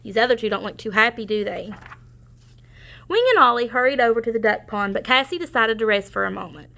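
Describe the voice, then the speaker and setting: Bright, high-voiced woman reading a storybook. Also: a little fast, gravelly. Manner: explanatory asides to young listeners. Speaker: storybook reader. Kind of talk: children's read-aloud narration.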